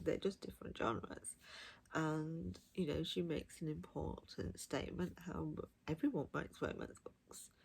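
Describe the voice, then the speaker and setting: A woman's voice: low voice, gravelly, husky, rough recording. A woman giving a casual, chatty book review with gushing, emphatic enthusiasm. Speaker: audiobook reviewer.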